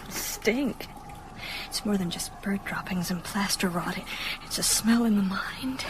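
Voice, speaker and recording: An actress playing a frightened, sensitive young woman with a hushed, fearful delivery in a horror radio drama. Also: mid-range voice, unhurried, in a whisper, close to an everyday voice, low-quality recording. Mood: hushed dread.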